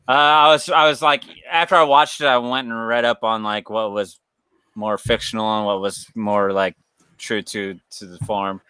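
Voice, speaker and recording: Laid-back podcast dude, bright voice, dry close sound, laughs a lot, swears. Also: male voice, lowish voice, fairly even intonation, normal speed, clean tone, no echo. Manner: loose, laughing, profane, rambling. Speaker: podcast co-host. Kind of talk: casual chat.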